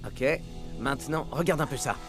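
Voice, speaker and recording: A man playing a friendly, playful young star striker in an animated cartoon dub. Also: mid-range voice, normal speed, natural voice. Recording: music under the voice.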